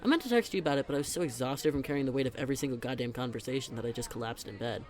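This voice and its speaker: A man's voice: medium pitch, racing, close to an everyday voice. A young man playing a teasing, chatty best friend in a conversational audio roleplay, talking in a casual, emphatic way. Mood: exasperated venting. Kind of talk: conversational scripted roleplay monologue.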